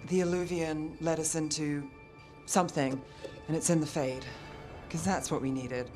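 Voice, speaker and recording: A cautious, skeptical woman mage, played by a woman in a fantasy game, her words measured and dry. Her voice rings with a long hall reverb. Clear music plays behind her. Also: low voice, steady tone, darkish tone, natural voice. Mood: calm. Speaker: party companion. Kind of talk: video game voice acting.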